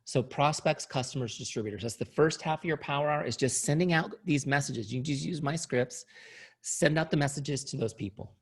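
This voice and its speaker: Man coaching a sales team, gravelly, voice wearing thin, upbeat, middle-aged. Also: a little high, fairly quick. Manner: upbeat, coaching, asks "right?" often. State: losing his voice. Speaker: company founder and trainer. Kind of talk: online sales training talk.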